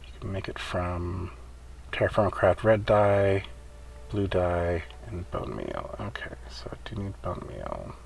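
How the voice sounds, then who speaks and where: A man's voice: low voice, animated, a little slow, gravelly, darkish tone. A man giving casual gameplay commentary, muttering his way step by step as he thinks aloud on a noisy home recording. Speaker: gamer hosting a server event.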